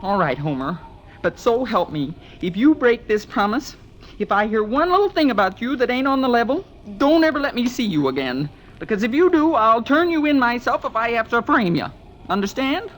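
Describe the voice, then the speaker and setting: A woman's voice: fairly high pitch, big pitch swings, normal speed. A woman playing a blunt, lonesome diner keeper in a 1940s radio drama, with slangy, world-weary delivery. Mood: stern, warning. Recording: slightly noisy vintage radio recording.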